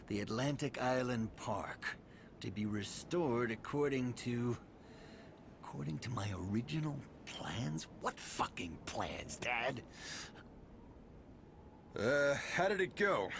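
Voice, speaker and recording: A man's voice: medium pitch, fairly bright tone. A man playing a bitter, sardonic estranged son of a tycoon in a video game cutscene, speaking with dry weariness. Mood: frustrated, weary. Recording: noisy game capture.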